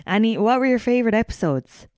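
An Irish woman co-hosting a podcast in casual banter, playful and quick to swear. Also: fairly high pitch, expressive, darkish tone, dry recording, clean studio sound. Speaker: podcast co-host.

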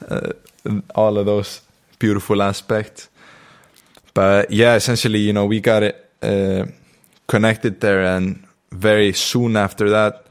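A young man with an Icelandic accent tells a personal story in a reflective, spiritual way, with many fillers and restarts. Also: on the low side.

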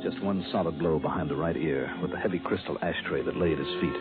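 An actor playing a wry, smooth private investigator in a 1960s radio detective drama, with a clipped, dry delivery. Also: male voice, extremely low pitch, fast talker, loud music behind the voice. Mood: grave, matter-of-fact. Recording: muffled old radio broadcast, phone-like band.

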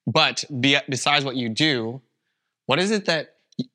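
A man's voice: mid-range voice, animated, a little slow, sharp tone. A young man from Canada, whose first language is Vietnamese, co-hosting a casual podcast chat and thinking aloud with fillers. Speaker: podcast co-host.

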